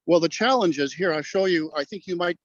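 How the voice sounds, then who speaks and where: An older man, a guest poet explaining in a halting, deliberate way over a remote video call from outdoors. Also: high voice, dry recording. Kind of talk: explanatory interview talk. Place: outdoors on grass in a parking lot. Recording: dull, lo-fi call audio.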